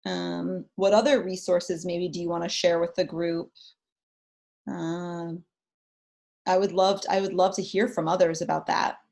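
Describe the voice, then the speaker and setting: A woman's voice: fairly low pitch, a little slow, vocal fry. A woman therapist leading an online webinar over a video call, telling stories and talking in a chatty way with plenty of fillers. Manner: chatty, animated storytelling with lots of fillers. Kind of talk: webinar talk.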